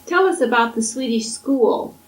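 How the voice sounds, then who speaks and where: Soft-spoken woman interviewer, distant. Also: a little high, lively intonation, moderate pace. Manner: encouraging, soft-spoken, frequent affirming murmurs. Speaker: oral history interviewer. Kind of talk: interview questions. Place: college library. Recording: echoey library room from a distance.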